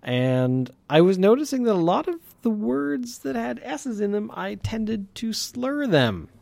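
A man's voice: high voice, very animated, a little slow, gravelly, darkish tone, no room sound. A man hosting his own podcast with a light lisp, talking in a rambling, casual way with many fillers and restarts. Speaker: podcast host. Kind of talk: casual solo podcast monologue.

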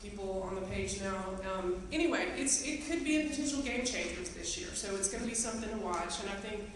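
A woman's voice: mid-range voice, expressive, a little fast. A woman, giving a chatty, earnest talk to a room of educators with many ums and you-knows. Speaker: speaker at a teachers' association meeting. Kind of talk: casual talk to an audience. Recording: roomy, echoey hall from far off with ambient noise.

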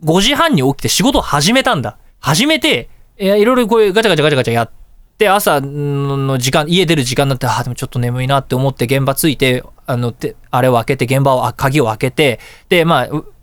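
A man hosting a radio show, telling everyday anecdotes in a casual, excitable way and acting out little exchanges. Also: medium pitch, fast talker, no room sound, clean studio sound. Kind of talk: casual storytelling radio talk.

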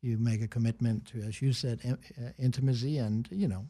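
A middle-aged or older man from Denmark with a Danish accent, talking casually and reflectively as an interview guest in halting phrases. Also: mid-range voice, fairly even intonation, dark tone. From small rural town in Denmark. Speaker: interview guest, business advisor.

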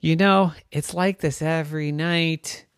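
A man's voice: on the high side, steady tone, unhurried, smooth, dry recording, studio quality, voice pushed up. A man voicing a grumbling, weary toy car in a bedtime story, scolding and argumentative. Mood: resigned. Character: grumbling, weary neighbor cars bickering in a jar.

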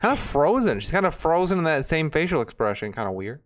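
A man's voice: on the high side, sing-song, quick pace. A man in his twenties hosting a casual livestream with informal, teasing banter. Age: 20s. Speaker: show host.

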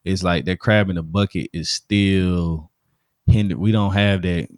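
A man's voice: low-pitched, steady tone, unhurried, a little mellow, no echo, clean studio sound. A man from Alabama with a Southern accent, chatting casually in slang and often checking that the listener follows. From Alabama, United States. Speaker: DJ with a studio, podcast speaker. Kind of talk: casual conversational discussion.

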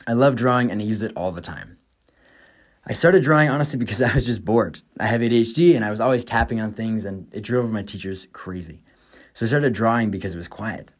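A young man in his twenties, an American podcast host, reading his own script with an upbeat, enthusiastic manner. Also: fairly low pitch. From United States. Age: 20s.

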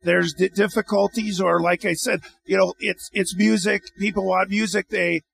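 Gravelly, halting male radio host. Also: high voice, steady tone, fairly bright tone. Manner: halting, rambling questions with many restarts. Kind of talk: interviewing a guest.

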